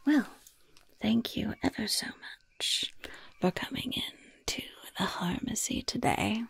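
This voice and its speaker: Casting: woman as a wicked, chatty goblin shopkeeper for ASMR roleplay. Heavily creaky, whispered delivery, sly and courteous. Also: mid-range voice, fairly bright tone, exaggerated voice. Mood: slyly evasive. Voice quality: breathy, husky whisper with gravelly creak. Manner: sly, polite, hesitant patter with repeated words. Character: gleefully wicked, chatty goblin shopkeeper selling poisons and curses.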